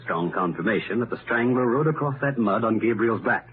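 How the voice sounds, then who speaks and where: An American actor playing a confident, brilliant amateur detective in 1930s radio mystery style, explaining things in a deliberate, methodical, emphatic way. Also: male voice, fairly low pitch, animated, close to an everyday voice. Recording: noisy, narrow-band 1930s radio recording.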